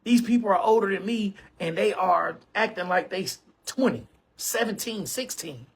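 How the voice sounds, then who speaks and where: Husky high-voiced man in his forties. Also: animated, normal speed. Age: 40s. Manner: emphatic, repetitive, keeps saying bro and man. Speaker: online show host. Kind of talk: casual monologue to camera.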